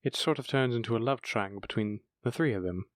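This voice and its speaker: A man's voice: medium pitch, fast talker, no echo, studio quality, close to an everyday voice. Flustered, tender man acting as a bashful dragon boyfriend. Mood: shy, confessional. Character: bashful, doting male dragon lover, bookish and flustered. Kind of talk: romantic fantasy audio roleplay, addressing the listener.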